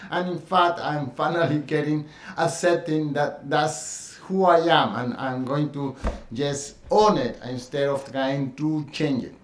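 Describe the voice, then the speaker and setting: A middle-aged man with a Spanish accent speaks in an earnest, reflective monologue, pausing on fillers and restarting his sentences. His voice sits a little high for a man. The room around him is echoey. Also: fairly even intonation, slight background noise. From Colombia. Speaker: dance teacher sharing his experience. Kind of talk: personal monologue.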